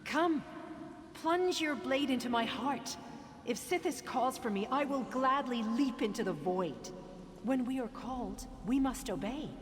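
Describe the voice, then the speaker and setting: A woman's voice: high-pitched, lively intonation, measured pace. A woman voicing a devout, fearless assassin in a fantasy game, theatrical and solemn. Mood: serene zeal. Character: devout, fearless female cultist assassin welcoming death. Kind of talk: dramatic fantasy game dialogue. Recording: long hall reverb, faint noise.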